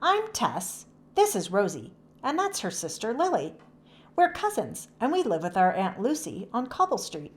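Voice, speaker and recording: A woman's voice: a little high, huge pitch swings, normal speed. A woman voicing a confident, outgoing young girl in a children's storybook, with a bold, theatrical manner. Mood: polite, confident.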